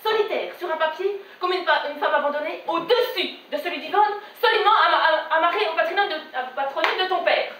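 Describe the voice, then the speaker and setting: A woman's voice: very high-pitched, quick pace, hardly any pauses, fairly bright tone. Woman doing an aggrieved older mom guilt tripping, plaintive stage acting, far from mic, bit noisy. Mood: indignant. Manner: indignant, plaintive, emphatic. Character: aggrieved, self-pitying older mother guilt-tripping her daughter. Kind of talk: theatrical stage monologue in French. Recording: echoey stage recorded from afar, faint noise.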